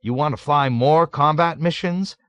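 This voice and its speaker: A man voicing a jovial, nervous middle-aged colonel who gushes loudly and is eager to please. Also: on the high side, very animated, moderate pace, dry recording, pitched above the performer's own voice. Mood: gushing. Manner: loud, gushing, eager to please.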